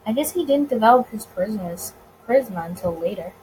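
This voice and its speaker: A woman's voice: mid-range voice, expressive, fairly quick, mellow, slight background noise, low-quality recording. A woman reading a visual novel aloud with casual commentary, stumbling over words now and then. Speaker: let's play host.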